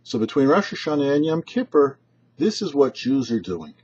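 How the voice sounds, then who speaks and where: A man with a very husky, breathy-edged voice delivers a scripted religious teaching like a rabbi addressing listeners. His intonation moves widely up and down across deliberate, measured phrases. The recording is somewhat dull and lo-fi. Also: mid-range voice, very animated, darkish tone. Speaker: rabbi, host of a weekly Torah portion show. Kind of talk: short scripted religious commentary, read aloud. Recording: dull, lo-fi, muffled audio.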